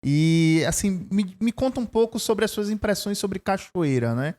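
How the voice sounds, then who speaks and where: A man hosting an interview for a literary festival, asking warm and encouraging questions. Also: sits high, expressive, moderate pace. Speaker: interview host.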